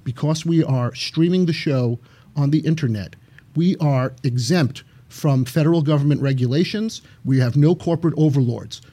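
A man's voice: medium pitch, dark tone, slightly rough. A man co-hosting a radio show, cranky and sardonic, who delivers his jokes in a mock-serious tone. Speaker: co-host, TV producer.

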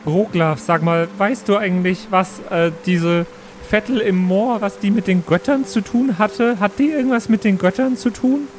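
A man's voice: sits high, moderate pace, darkish tone, slight background noise. A man playing a tabletop game, bantering casually in character with a blunt, teasing manner.

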